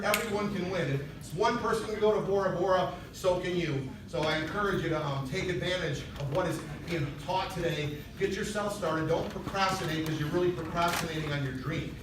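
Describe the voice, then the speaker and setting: A man gives a motivational stage talk in a high-pitched voice, conversational but urging. He sounds far from the microphone in a very echoey event hall, with clear noise over the recording. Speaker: motivational speaker at a sales convention. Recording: echoey hall, distant mic, noisy.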